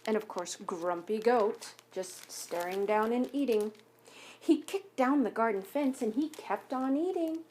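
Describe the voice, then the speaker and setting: A woman reading a picture book aloud to children like a school teacher, pausing to explain things and ask questions. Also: fairly high pitch, a little mellow, slight background noise. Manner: instructive, asks listeners questions. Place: home basement by a fire.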